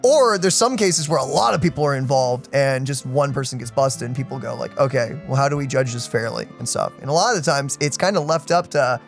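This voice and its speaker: A man's voice: medium pitch, fairly quick, gravelly, few pauses, soft background music. A man hosting a let's play with casual gaming commentary, rambling as he thinks aloud.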